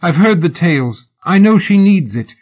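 A man reading an audiobook, voicing a pushy, excitable exiled woman who gushes and pleads in an overly familiar way, on a dull lo-fi recording. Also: high voice, moderate pace, voice pushed up. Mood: pleading.

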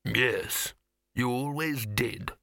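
A man's voice: medium pitch, very slow, gravelly, bright, forceful tone, no echo, heavily acted. Man acting dry, bossy old senior wizard. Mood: dry, needling. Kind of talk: audiobook character acting.

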